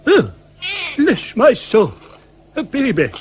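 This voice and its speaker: An earnest young-in-rank monk, flustered and deferential. He speaks high with lively swings, on a noisy old radio drama recording. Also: slow, full character voice. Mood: astonished. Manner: deferential, wondering. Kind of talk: 1970s radio play acting.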